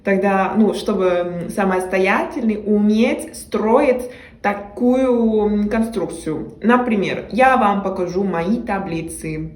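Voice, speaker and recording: A woman's voice: medium pitch, slow, a little mellow, reverberant. A young woman from Mexico with a Mexican Spanish accent, talking casually to camera in a language she learned, hesitating with frequent fillers and restarts.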